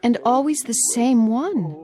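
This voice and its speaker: An actress playing a gentle, worried granddaughter in a radio drama, speaking with concern and some hesitation. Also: female voice, on the high side, animated, unhurried, a crowd in the background. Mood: anxious, resigned.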